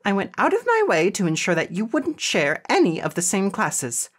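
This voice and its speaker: A man's voice: sits high, huge pitch swings, moderate pace, a bit bright, slightly rough, pitched above the performer's own voice, exaggerated voice. A man voicing a stern, disapproving woman school principal with a prim, snappish manner in an audiobook.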